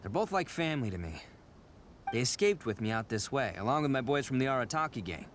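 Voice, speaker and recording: An actor playing a boisterous horned oni man in a video game, loud and boastful, stammering as he bluffs. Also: mid-range voice, sing-song, fast, a little hiss or hum, close to an everyday voice. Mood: earnest. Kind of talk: video game cutscene acting. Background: game music.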